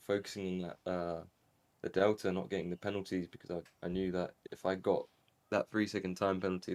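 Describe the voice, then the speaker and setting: A young man from Angola, interviewed after winning a sim race, talking casually with lots of fillers. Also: deep voice. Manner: relaxed, rambling with fillers. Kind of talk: casual post-race interview over voice chat.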